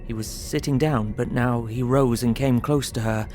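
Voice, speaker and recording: Grave young husband, played by a male actor, measured diary narration for audio drama, troubled tone, music underneath. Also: mid-range voice, steady tone, darkish tone, close to an everyday voice. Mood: pained, hushed. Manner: sombre, measured.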